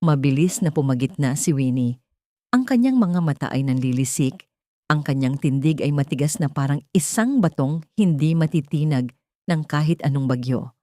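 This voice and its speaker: A woman's voice: deep voice, moderate pace, dark tone, no echo. A woman reading a scripted audiobook drama as narrator, recorded close to the mic.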